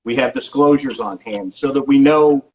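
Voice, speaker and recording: A middle-aged man working as a real estate agent, answering interview questions in a casual, halting way with frequent restarts and filler sounds. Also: mid-range voice, darkish tone, a little hiss or hum, rough recording. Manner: halting, many restarts and ums.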